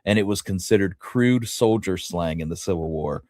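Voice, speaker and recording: A man's voice: low-pitched, normal speed, darkish tone, no echo, studio quality. A man co-hosting a history talk show, telling anecdotes in an informal, rambling way. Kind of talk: casual chat with anecdotes.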